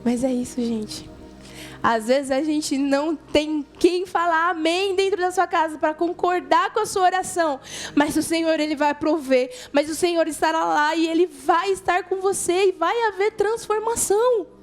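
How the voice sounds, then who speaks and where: A Brazilian woman with a notably high voice gives an informal youth sermon. She talks colloquially and conversationally, addressing listeners directly and voicing dialogue as she goes, with music faintly behind her. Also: moderate pace. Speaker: youth church preacher.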